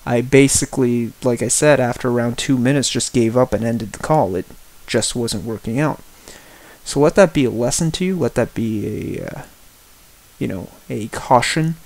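Deliberate man giving an opinion review. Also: medium pitch, a little mellow, low-quality recording. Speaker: product reviewer. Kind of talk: spoken personal-opinion tech review over a screen image.

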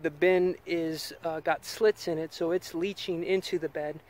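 A man's voice: fairly high pitch, fairly even intonation, moderate pace, a little mellow. An American man in his thirties giving a casual, explanatory farm tour, speaking into a camera held at arm's length outdoors. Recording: outdoor ambient noise.

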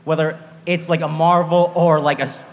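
A man's voice: on the high side, flat delivery, normal speed. A man asking a casual question from the audience at a convention. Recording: echoey convention hall PA.

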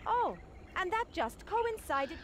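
A woman's voice: very high voice, very animated, takes it slowly, slight background noise, exaggerated voice. A woman playing a proud, easily exasperated young desert princess in a video game, with formal, scolding phrasing.

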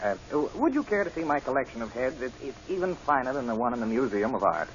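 A man's voice: mid-range voice, very animated, quick pace, crowd noise, lightly acted. Guy doing a creepy polite villain, smug, very up n down voice, stammers a bit, muffled old radio. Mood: smugly genial. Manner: taunting, mock-polite, hesitant stammering starts. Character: smug, sinister gentleman murderer, falsely genial. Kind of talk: old radio drama acting.

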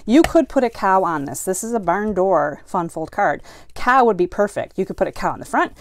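A middle-aged woman teaching a live card-making class from her craft room, chatting and greeting viewers as she demonstrates, feeling a bit foggy and slow today. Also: medium pitch, animated, no room sound.

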